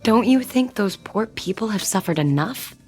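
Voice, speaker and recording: An actress playing a wry, guarded woman in an audio drama, speaking in a dry, deadpan way. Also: medium pitch, moderate pace, slightly rough, soft background music. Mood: reproachful, wry.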